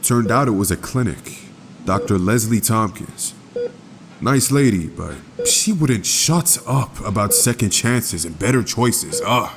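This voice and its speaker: A man's voice: on the low side, expressive, unhurried, crowd noise. An actor playing a bitter, sardonic young man narrating his own origins in a dramatic comic dub. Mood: wry, bitter. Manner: sardonic, wry. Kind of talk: dramatic first-person narration.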